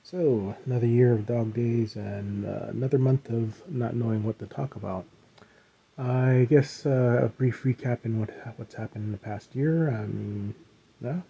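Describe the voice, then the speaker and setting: A man talks through his week on a solo podcast, rambling and correcting himself as he goes. His voice is very dark and mellow, husky, with a slight roughness from a stuffy nose. The home recording sounds dull and lo-fi. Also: medium pitch. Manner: rambling, self-correcting, chuckles now and then. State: slightly rough voice from post-nasal drip. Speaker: podcast host. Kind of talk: casual solo podcast chat.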